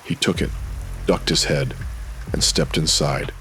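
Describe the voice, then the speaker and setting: A man narrating a pulp noir audio drama in dramatic, brooding, measured phrases. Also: very deep voice, fairly even intonation, background noise.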